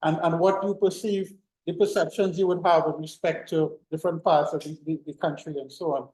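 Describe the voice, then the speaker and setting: A man's voice: fairly high pitch, steady tone, brisk, darkish tone, echoey room, low-quality recording. A middle-aged man giving an informal classroom lecture, full of fillers and restarts. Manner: rambling, many fillers and restarts. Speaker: university lecturer.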